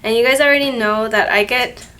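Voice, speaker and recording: A woman's voice: a little high, steady tone, a little fast, bright, forceful tone. A woman hosting her paranormal channel, chatting casually to camera from an office, sounding nasal and congested from a cold and rambling with self-corrections. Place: office at her workplace, indoors. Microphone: camera mic, no microphone.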